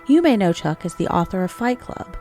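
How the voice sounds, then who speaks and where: A woman's voice: medium pitch, big pitch swings, fairly quick, dark tone, soft background music. A woman announcer delivering a scripted advertisement. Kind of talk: scripted ad read.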